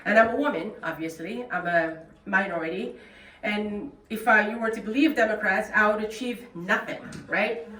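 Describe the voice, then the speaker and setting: A woman's voice: medium pitch, moderate pace, bright, forceful tone. A middle-aged woman from South Korea with a Korean accent, giving a plain-spoken, earnest speech as a guest speaker. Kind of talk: speech at a club meeting. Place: meeting room. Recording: echoey room, distant mic, faint noise.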